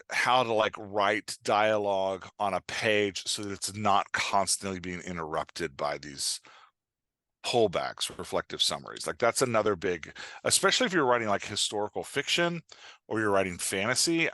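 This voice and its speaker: Bright-voiced, low-pitched man explaining casually. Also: no room sound. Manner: informal, rambling, acts out imagined reactions. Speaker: podcast guest, writing coach. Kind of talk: casual explanatory interview talk.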